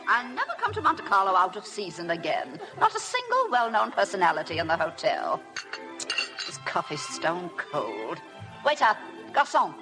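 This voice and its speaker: An actress playing a bossy, gushing middle-aged society matron with a snobbish air in a vintage radio drama. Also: female voice, mid-range voice, wildly swooping pitch, moderate pace, low-quality recording. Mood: imperious, complaining. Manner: imperious, gushing. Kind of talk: 1950s radio drama acting. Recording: vintage radio broadcast with loud music.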